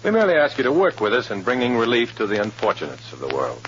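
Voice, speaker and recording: An actor playing a guarded, sober undercover agent, narrating and acting in an old radio drama. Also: male voice, mid-range voice, wildly swooping pitch, fast, a bit bright, slight background noise. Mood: smooth, persuasive. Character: guarded, tense male undercover agent posing as loyal communist. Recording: dull old radio recording, music behind.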